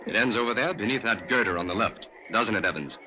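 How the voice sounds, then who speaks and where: Jim, a wry sidekick. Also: male voice, fairly low pitch, quick pace, pushed and bright, a little hiss or hum. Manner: wry, teasing. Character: wry young male sidekick named Jim. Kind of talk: 1940s radio acting. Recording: dull lo-fi old radio recording.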